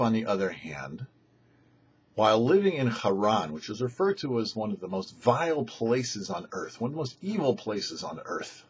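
A middle-aged man giving a short religious teaching as a rabbi, in measured, emphatic, deliberate phrases. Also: mid-range voice, husky, dull audio. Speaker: rabbi, show host. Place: small indoor set with cloth backdrop.

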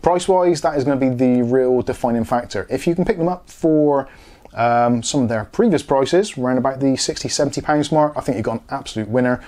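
A man's voice: medium pitch, a little mellow. A British man in his forties giving a casual, informal product review to camera on a lapel mic, thinking aloud as he goes. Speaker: tech reviewer, video host. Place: small home studio room, indoors. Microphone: lavalier. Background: music from speakers being tested.

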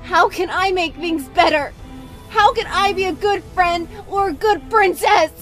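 A very high-pitched cartoon teenage princess. She is intensely emotional and earnest, a magical girl in a fan comic dub. Music plays behind her. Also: a little slow, fairly bright tone. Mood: anguished.

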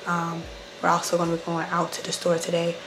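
A woman in her twenties with a sick, hoarse, partly lost voice, chatting casually to vlog viewers. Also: lowish voice, completely flat delivery, a little fast. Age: 20s. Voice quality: hoarse, breaking into whisper. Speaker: vlogger. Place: indoors, mostly a bedroom at home. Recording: clearly noisy camera audio.

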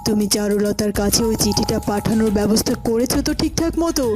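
A woman's voice: medium pitch, fast talker, lightly acted. Woman doing a scheming palace auntie, cautious tone, music under it. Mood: questioning. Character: scheming middle-aged royal aunt.

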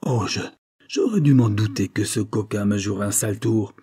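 A man's voice: moderate pace, dark tone. A man voicing an irascible, spluttering older former lawyer with a harsh voice, in an audiobook story. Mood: shrill indignation. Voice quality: harsh, rasping, shrill when angry. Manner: spluttering, outraged.

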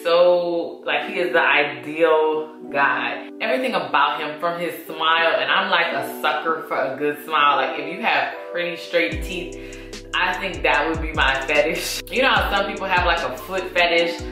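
A woman's voice: low-pitched, normal speed. A woman, chatting casually to viewers while doing her makeup. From Louisiana. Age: 30s. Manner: chatty, addresses viewers as y'all. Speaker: beauty vlogger. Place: small indoor room against a plain wall. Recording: very echoey room with music behind.

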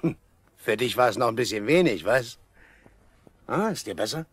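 Hearty, animated village official. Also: male voice, medium pitch, moderate pace, husky, no room sound. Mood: caring, brisk. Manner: avuncular, reassuring, chatty. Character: hearty, caring village official, jovially taking charge. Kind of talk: 1970s German radio play acting.

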